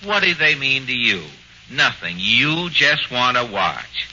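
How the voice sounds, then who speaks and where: A man hosting a 1940s radio comedy, dry and mock-stern with quick quips. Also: mid-range voice, very animated, bright, forceful tone. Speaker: comedy show host. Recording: dull old radio broadcast, faint hiss.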